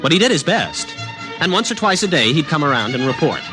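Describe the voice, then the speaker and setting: A wry, skeptical young college student in a vintage radio drama. His talk is conversational, with a lively rise and fall in pitch. Music plays clearly behind him. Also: fairly quick. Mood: narrating. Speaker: narrator and lead. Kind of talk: 1950s radio drama acting. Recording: studio with clear background music.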